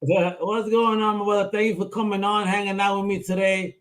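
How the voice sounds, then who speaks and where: Hyped-up high-voiced podcast host man. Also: brisk. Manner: hype, energetic, slangy. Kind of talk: casual chat.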